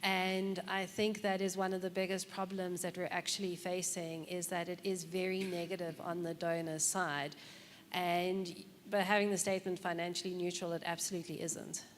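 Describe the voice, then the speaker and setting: A woman's voice: lowish voice, little pitch movement, moderate pace. A woman making a spoken comment during a conference discussion. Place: large conference hall. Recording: reverberant hall, faint background noise.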